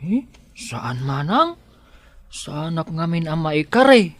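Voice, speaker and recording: Shy, slow-speaking lovestruck young gardener, as acted out. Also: mid-range voice, big pitch swings. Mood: bashful, anxious. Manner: bashful, hesitant. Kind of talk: Ilocano audio drama acting.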